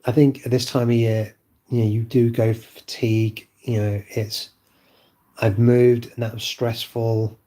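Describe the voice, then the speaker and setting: Man, unwell, low flat voice, mellow dark tone, rambling casual vlog at home. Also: a little slow. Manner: rambling, hesitant, many fillers and restarts. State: unwell, voice lowered by illness. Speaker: vlogger. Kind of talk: casual monologue to camera. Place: at home indoors.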